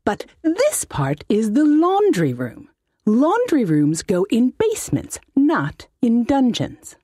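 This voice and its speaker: Woman playing a shrill, pompous old halfling wizard. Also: wildly swooping pitch, slow pace, warm tone, no echo, clean studio sound, full character voice. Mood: imperious. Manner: indignant, bossy, exclamatory. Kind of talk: audiobook character voice for comic fantasy story.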